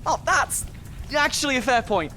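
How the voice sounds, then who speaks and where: A performer playing a cheeky, excitable young assistant in a video game, irreverent in manner. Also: sits high, moderate pace, slight background noise. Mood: excited, cheeky. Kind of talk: video game cutscene acting.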